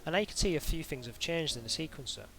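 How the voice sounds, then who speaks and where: A man narrating an informal software tutorial in a hesitant, halting way, as if thinking aloud. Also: on the high side, lively intonation, over music, poor audio. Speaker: tutorial instructor.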